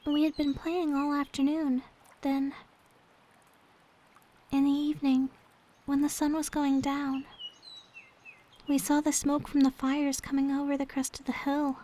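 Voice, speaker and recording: High, sweet-voiced gentle maid, acted by a woman. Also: flat delivery, a little slow, pauses often. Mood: hesitant, wistful. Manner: soft, sweet, tender, hesitant when confiding. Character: gentle, devoted young maid with a sorrowful past. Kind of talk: ASMR roleplay.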